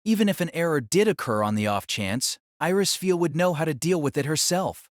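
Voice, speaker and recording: A man tells a fantasy story in a steady, even narration. His voice is a touch high and the sound is dry and close, with no room around it. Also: fairly quick, no echo. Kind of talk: scripted audiobook narration.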